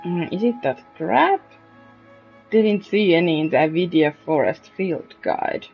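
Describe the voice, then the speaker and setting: A woman streaming a video game, reacting casually out loud. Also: on the low side, expressive, unhurried. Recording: dull lo-fi mic, music behind. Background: game music.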